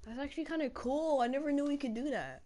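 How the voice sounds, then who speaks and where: A man giving casual, excitable commentary while playing a fighting game, shouting in fights and mumbling between rounds. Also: very high voice, fast, noisy background. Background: loud game music and sound effects.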